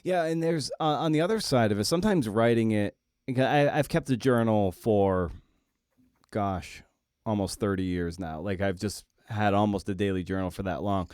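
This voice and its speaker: A middle-aged man hosting a podcast interview, conversational and reflective, feeling his way haltingly through long, rambling questions. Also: lowish voice, darkish tone, smooth, no echo, clean studio sound. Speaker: podcast host.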